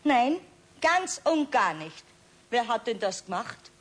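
A woman's voice: fairly high pitch, sing-song, slow pace, full character voice. An actress playing a blunt, indignant cleaning lady in a children's radio play, exclamatory and disapproving. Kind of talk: 1970s German children's radio play acting.

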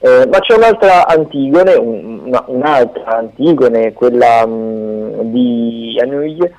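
A man chatting unscripted on the radio as he runs through theatre listings, halting and often correcting himself. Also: medium pitch, lively intonation, a little mellow, soft background music, poor audio. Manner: hesitant, halting, self-correcting. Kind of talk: unscripted radio chat, event rundown.

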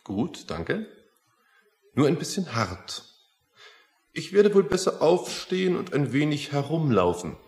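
An actor playing a stubborn, unintimidated man in a radio play, courteous and deliberate, philosophical in his phrasing. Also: a little high, sing-song, a little mellow. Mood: matter-of-fact. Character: stubborn, unintimidated male petitioner, plain-spoken and philosophical. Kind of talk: German radio play acting.

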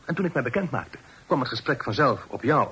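Period radio drama: well-bred young brother, mid-pitched, very expressive, mock-stern banter. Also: male voice, brisk, slightly rough. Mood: defensive, amused. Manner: mock-pompous, bantering, old-fashioned phrasing. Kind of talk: Dutch radio play acting. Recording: noisy old radio drama recording.

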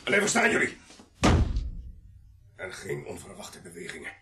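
A man's voice: a little high, sing-song, fairly quick, over music. An actor in a Dutch radio play playing a menacing gunman who commands with sardonic courtesy. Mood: threatening, mocking. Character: menacing gunman holding policemen hostage, mockingly polite.

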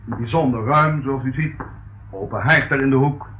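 A man's voice: mid-range voice, moderate pace, natural voice. An actor playing a fussy, easily scandalised male estate agent in a Dutch radio play, with formal, pompous patter. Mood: effusive, salesmanlike. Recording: muffled old radio recording, faint noise.

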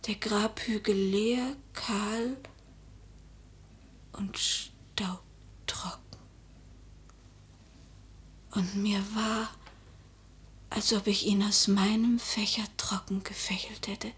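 An actress playing an imperious, troubled noble widow in a classical radio drama, haughty and dramatic. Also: female voice, mid-range voice, fairly even intonation, slow, long pauses, close to an everyday voice. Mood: agitated, haunted. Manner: haughty, dramatic, whispery at times.

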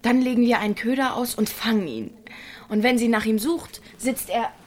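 A woman's voice: a little high, normal speed, darkish tone, slight background noise, lightly acted. A woman playing a teasing, thrill-hungry teenage village girl in a naturalistic German radio drama. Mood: playful, plotting.

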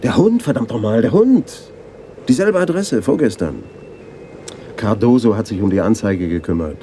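Brief: male actor as older Amsterdam detective for radio crime play, dry, terse, low and dark-voiced. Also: lively intonation, normal speed, slight background noise, natural voice. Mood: urgent, excited. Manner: dry, laconic. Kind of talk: German radio crime drama acting.

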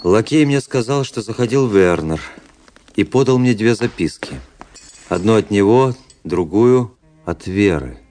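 A man's voice: lowish voice, animated, a little slow, darkish tone. An actor playing an anguished, brooding officer who narrates his lost love in a radio drama, with theatrical, intense phrasing. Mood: weary foreboding. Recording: prominent music under the voice. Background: music.

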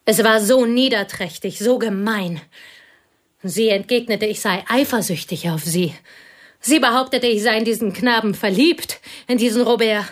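An actress playing a bitter, lonely woman confiding old betrayals in a hushed, intense voice. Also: fairly high pitch, normal speed, natural voice. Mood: bitter confession. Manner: hushed, intense, often whispering. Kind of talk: radio play acting.

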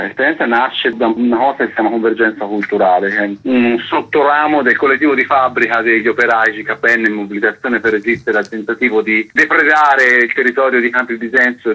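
A man giving an explanatory radio interview as a guest. His voice is rough-edged and sharp, with an earnest, wordy delivery. The sound is somewhat muffled and band-limited, as on a radio broadcast. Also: mid-range voice. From Italy. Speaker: interview guest, festival artistic director.

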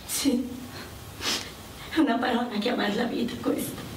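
An actress playing a gentle, sorrowful widowed mother forced into wartime prostitution, earnest and hesitant in a radio drama. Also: fairly high pitch, steady tone, a little slow, lightly acted. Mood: resigned, wistful. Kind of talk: dramatic radio play dialogue. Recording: roomy, distant mic with faint noise.

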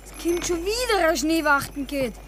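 An actress playing a quiet, stubborn young Bavarian farm boy with a Bavarian accent in a radio play. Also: very high voice, animated, normal speed, a little hiss or hum. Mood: plain. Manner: short, plain replies. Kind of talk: 1960s radio play acting.

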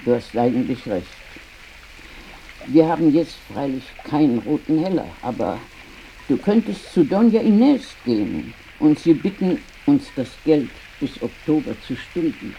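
A woman's voice: low voice, very animated, unhurried, creaky, mellow, heavily acted. An actress playing a practical old grandmother of a poor village household in a radio play. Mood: resigned, practical. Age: older. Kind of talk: German radio play acting. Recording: dull, faint sizzling rain noise.

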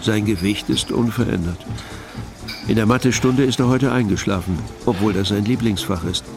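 A man's voice: on the low side, normal speed, vocal fry. A man giving scripted literary narration in an audio production. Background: music.

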